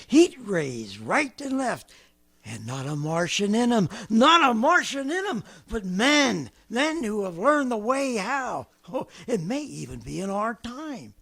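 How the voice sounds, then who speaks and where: An actor playing a power-mad artilleryman in a 1930s radio drama, gravelly and excitable, breaking into shouts. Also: high voice, unhurried, full character voice. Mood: scheming. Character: wild-eyed stranger man, power-mad and grandiose. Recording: vintage 1930s radio broadcast.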